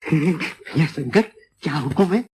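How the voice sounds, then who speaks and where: A man playing an excitable, cowardly village busybody in a 1950s Hindi film comedy, chattering and pleading theatrically. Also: a little high, slow pace. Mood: frightened, pleading. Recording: noisy old film soundtrack.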